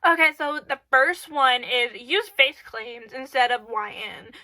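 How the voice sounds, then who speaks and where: A woman's voice: sits high, lively intonation, a little slow, noisy background. A woman hosting her own channel, giving casual advice in a rambling way full of fillers, her voice stuffy and congested from a cold, recorded on a camera in her bedroom. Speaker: channel host. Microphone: camera in her room.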